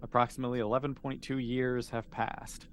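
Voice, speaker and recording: Guy game mastering, kinda halting, making up the story as he goes, bit of background noise. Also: medium pitch, little pitch movement. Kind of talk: improvised game narration.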